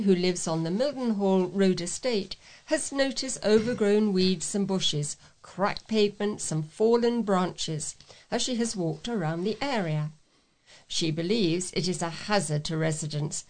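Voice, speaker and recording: A woman's voice: medium pitch, darkish tone. A woman reading scripted local news items as a volunteer newsreader.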